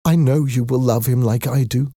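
A man's voice: mid-range voice, steady tone, normal speed, mellow, dry recording, clean studio sound, voice pushed up, natural voice. A man voicing an emotional young woman, a lovestruck and devoted daughter, in an audiobook story. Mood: pleading, in love. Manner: earnest, emotional pleading.

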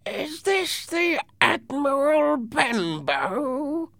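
Shrill, croaking sinister blind beggar. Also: male voice, big pitch swings, a bit bright, no room sound, clean studio sound, heavily acted. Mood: menacing. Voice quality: high, creaky, strained. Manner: slow, drawn-out commands. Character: sinister, commanding, ugly old blind beggar man.

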